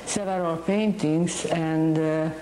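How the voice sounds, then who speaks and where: A painter from Hungary, a woman speaking English with a Hungarian accent, talks about her art in a halting, searching way. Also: low voice, fairly even intonation, roomy sound, background noise. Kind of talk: interview monologue.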